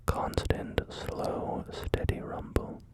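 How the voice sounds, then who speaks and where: A man whispering through a relaxed ASMR gaming video, rambling and joking about himself with many fillers. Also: slow. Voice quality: soft unvoiced whisper. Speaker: ASMR creator.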